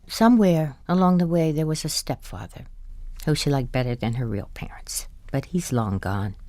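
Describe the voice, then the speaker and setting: A woman's voice: extremely low pitch, darkish tone, no room sound, exaggerated voice. An actress playing a wry, indulgent older wife who tells her story confidingly in an audio drama. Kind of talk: audio drama acting with first-person narration.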